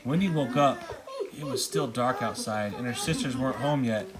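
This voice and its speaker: A man's voice: mid-range voice, normal speed, crowd noise. A man reading a children's storybook aloud as a parent at bedtime. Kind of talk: storybook read-aloud narration.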